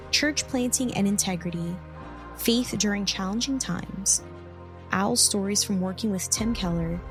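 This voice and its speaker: A woman reading a scripted episode preview in an even, read-aloud delivery. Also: mid-range voice. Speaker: podcast announcer. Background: music.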